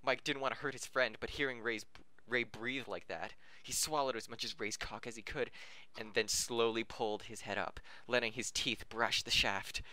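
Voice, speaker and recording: Bright-voiced man reading aloud, close and dry. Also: medium pitch, fairly even intonation, moderate pace, dry recording. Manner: breaks off to laugh, joke and correct misreadings. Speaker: podcast host reading fan fiction aloud. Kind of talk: amateur story reading with joking asides.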